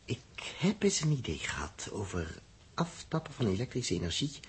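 A man's voice: mid-range voice, big pitch swings, moderate pace, lightly acted. An actor playing an irritable, sarcastic space crewman with an inventive streak, grumbling and thinking aloud in a 1960s Dutch radio drama. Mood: musing, eager. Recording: noisy 1960s radio recording.

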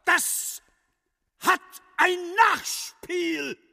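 An officious hospital inspector in a radio drama. He barks indignantly in a very high, gritty voice, drawing his words out. Long reverb surrounds him. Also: drawn-out words, vocal fry, bright, forceful tone, full character voice. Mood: barking, indignant. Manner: barking, indignant. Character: blustering, officious male military hospital inspector. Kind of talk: German radio drama acting.

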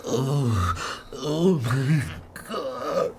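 An actor playing an agitated, paranoid Victorian gentleman in a radio play, halting and jittery, prone to erupting. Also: a little high, very noisy. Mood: panicked, enraged.

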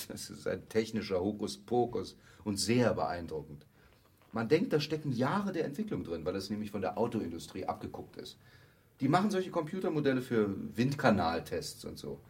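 A man's voice: lowish voice, sing-song, normal speed, a little mellow, slightly rough, heavily acted. An actor playing a glib, self-assured advertising man who explains matter-of-factly and asks rhetorical questions in a radio play. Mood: matter-of-fact, knowing. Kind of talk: German radio play, conversational monologue acting.

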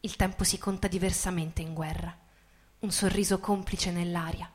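A woman giving a dramatic literary stage reading, expressive and measured, with deliberate pauses. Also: on the low side. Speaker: stage performer reading a narrative. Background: live musical accompaniment with guitar.

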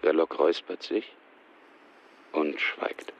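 Very deep, gravelly male narrator. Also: fairly even intonation, slow pace, lo-fi sound, a little hiss or hum. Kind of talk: scripted radio drama narration.

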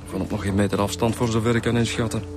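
Actor as a lowish-voiced, factual Flemish detective. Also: male voice, little pitch movement, quick pace, over music, close to an everyday voice. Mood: factual, grave. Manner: methodical, factual. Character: matter-of-fact male homicide detective reporting details. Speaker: inspector. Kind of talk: radio drama dialogue.

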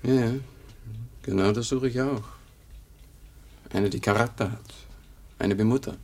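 A man's voice: medium pitch, a little slow, darkish tone, lightly acted. An actor playing a boastful, easygoing young womaniser talking colloquially with his brother in a 1950s German radio play. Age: young adult.

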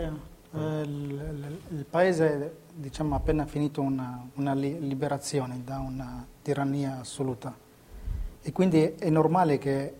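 A man's voice: medium pitch, a little mellow, breathy edge. A man giving spontaneous, unscripted testimony as an interviewee, speaking hesitantly with frequent filler phrases.

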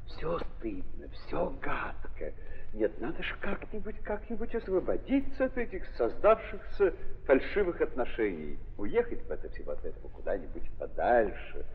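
A man's voice: medium pitch, huge pitch swings, normal speed, a little hiss or hum. Guy acting young aristocrat, emotional, theatrical, voice all over the place, muffled old radio play in echoey hall. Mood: anguished, disgusted. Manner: theatrical, repeats words with feeling. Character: young nobleman, restless, emotional, impulsive. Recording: muffled 1930s recording, long reverberant hall.

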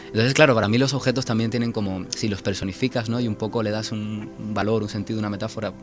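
Low-voiced Cuban man, halting. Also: fairly even intonation, music in the background. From Cuba. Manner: hesitant, repeats words, laughs often. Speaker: author guest. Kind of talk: radio interview chat.

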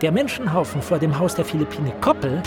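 A man's voice: fairly high pitch, sing-song, brisk, music in the background. An actor playing a deferential, wordy traveller recounting his grievances meticulously to a superior in a German radio play. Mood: agitated recounting.

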